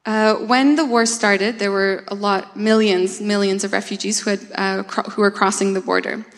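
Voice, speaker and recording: A woman's voice: medium pitch, fairly even intonation, moderate pace. A woman with a mid-range voice gives a church mission update, plainspoken and earnest, thinking aloud as she goes. The sound carries a long reverb from a church hall. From Canada. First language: Russian. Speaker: campus ministry worker.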